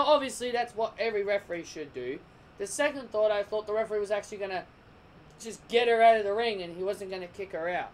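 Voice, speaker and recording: A man giving a casual, rambling wrestling review, often restarting his sentences, his voice croaking. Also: very high voice, slight background noise, low-quality recording. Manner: rambling, restarts and corrects himself.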